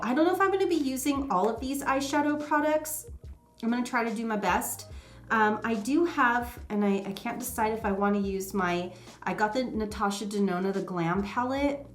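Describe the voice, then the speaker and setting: A woman's voice: mid-range voice, roomy sound. A woman vlogger chatting casually about makeup, a bit nasal from a head cold and rambling with many ums. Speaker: beauty vlogger. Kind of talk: casual makeup vlog chat.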